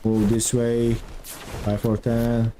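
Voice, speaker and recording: A man from Turkey with a thick Turkish accent, chatting with viewers during a live game stream in halting non-native English. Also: mid-range voice, completely flat delivery, mellow, slight background noise. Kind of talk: live stream chat while playing.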